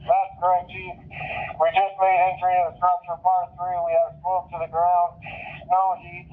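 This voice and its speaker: A man's voice: high-pitched, monotone, measured pace. A man working as a firefighter, reporting to command over a two-way radio in clipped procedural phrases. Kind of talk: fire radio traffic. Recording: narrow tinny fireground radio transmission.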